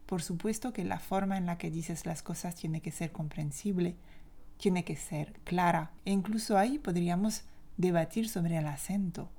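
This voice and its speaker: A woman from France speaking with a French accent, a language teacher giving a reflective, opinionated solo podcast talk in measured phrases. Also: fairly low pitch, dark tone.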